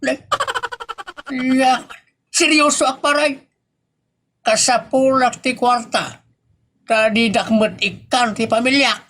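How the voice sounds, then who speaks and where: A man playing a hapless, pleading husband and father in a comedy audio drama, stammering and exclaiming excitably in a husky voice. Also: very high voice, takes it slowly, long pauses, heavily acted. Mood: pleading, agitated.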